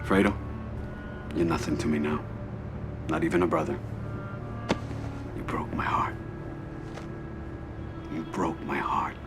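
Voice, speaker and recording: An actor playing a cold, controlled mafia don in a video game, grave and measured, with an American accent. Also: male voice, very deep voice, in a whisper, music in the background, heavily acted. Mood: cold, hurt. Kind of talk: video game cutscene acting.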